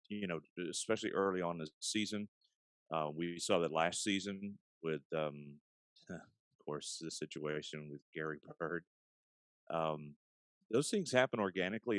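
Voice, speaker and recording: A man who works as an arena announcer, answering interview questions in a measured, explanatory, conversational way. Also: deep voice, dry recording.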